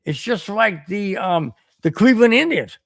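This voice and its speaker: A man hosting a solo podcast stream, ranting animatedly and repeating himself while his voice wears hoarse. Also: sits high, animated, normal speed, fairly bright tone, dry recording, clean studio sound. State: voice getting hoarse. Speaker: podcast host. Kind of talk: solo livestream rant reading chat.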